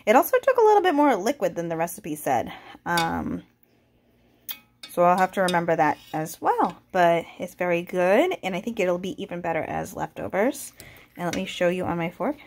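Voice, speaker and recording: A woman vlogging casually as she cooks at home, chatty and relaxed with frequent ums, her voice a little congested. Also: lowish voice, normal speed, a little hiss or hum. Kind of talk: casual kitchen vlog narration. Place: home kitchen.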